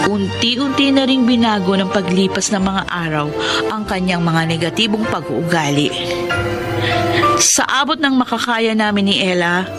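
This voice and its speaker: Radio drama heroine, poor young woman, earnest and thankful, an actress voicing her, mid pitch, music under. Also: lightly acted. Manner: earnest, pleading, voice rising high. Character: Luna, humble grateful young woman. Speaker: narrator of her own story. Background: music bed.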